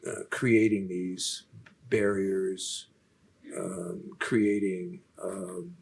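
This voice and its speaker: A soft-spoken man, lecturing and answering questions in a halting way, with many restarts. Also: fairly low pitch, gravelly, a little hiss or hum. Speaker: featured lecturer in a Q&A. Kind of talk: unscripted lecture conversation.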